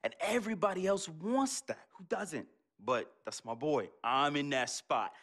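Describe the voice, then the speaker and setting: A man's voice: fairly high pitch, measured pace, a bit bright. An actor playing a streetwise young male hustler in a video game cutscene, talking in street slang and dropping "yo" often. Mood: defensive. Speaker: game character, hustling friend.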